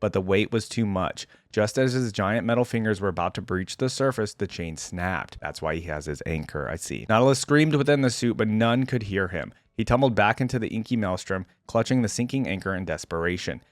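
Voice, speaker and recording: A man's voice: fairly low pitch, fairly quick, few pauses, no echo, clean studio sound. A man hosting a reaction video, mixing casual, informal commentary with lore read aloud. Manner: informal, conversational asides. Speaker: YouTube reaction host.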